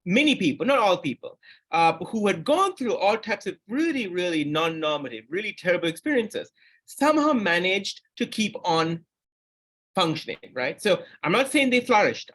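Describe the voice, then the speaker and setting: A man's voice: high voice, lively intonation, normal speed, a bit bright. A middle-aged man from Sri Lanka giving an academic seminar lecture on psychology, speaking with frequent restarts and rising emphasis.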